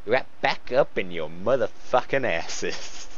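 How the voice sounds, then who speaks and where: A man's voice: fairly low pitch, animated, takes it slowly. A man casually reading fan fiction aloud and joking about it, on a lo-fi mic. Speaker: fan fiction reader and co-commentator. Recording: dull lo-fi mic, faint background noise.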